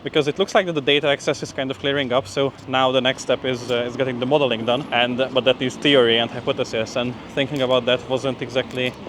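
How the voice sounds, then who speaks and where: A man's voice: medium pitch, level tone, fast talker, hardly any pauses. A young man talking casually to camera while walking, slightly out of breath, with informal phrasing full of "like" and "you know". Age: young adult. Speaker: PhD student vlogger. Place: outdoors on a street, walking. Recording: clear outdoor street and traffic noise.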